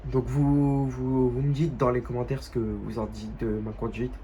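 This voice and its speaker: A young man with a stuffy, nasal voice from a cold, chatting casually and haltingly over gameplay at home. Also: mid-range voice, flat delivery, mellow, a little hiss or hum. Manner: halting, many fillers and restarts. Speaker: gaming youtuber.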